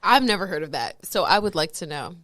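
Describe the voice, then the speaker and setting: A woman co-hosting a radio talk show in a studio, playful and quick to laugh. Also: fairly low pitch, sing-song, sharp tone, no room sound. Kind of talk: casual chat.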